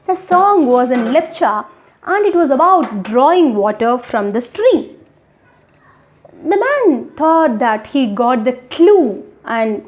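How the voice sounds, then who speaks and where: High-voiced woman telling a folk tale. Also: a little mellow. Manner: explanatory, repeats phrases, addresses listener. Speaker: storyteller. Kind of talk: oral folk tale storytelling.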